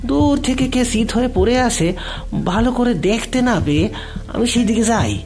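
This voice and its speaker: A man's voice: very high voice, animated, darkish tone, faint music behind. A man playing a meek, anxious forty-year-old male household cook in an audio drama, speaking deferentially. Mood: anxious, recounting. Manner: deferential, halting, testifying as a servant. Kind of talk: Bengali audio drama acting.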